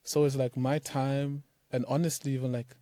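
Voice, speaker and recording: A man's voice: mid-range voice, little pitch movement, normal speed, dark tone, no room sound, studio quality. A young man from Nigeria, a startup founder talking loosely in an interview, full of fillers like "like" and "man". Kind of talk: casual interview talk.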